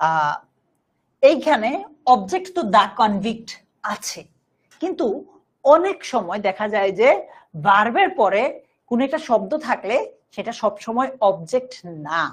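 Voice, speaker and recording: A woman with a Bangladeshi accent teaching a live online grammar class, explaining step by step, slowly dictating examples and checking that students follow. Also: fairly high pitch. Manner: instructive, repeatedly checking understanding, slowly dictating example sentences.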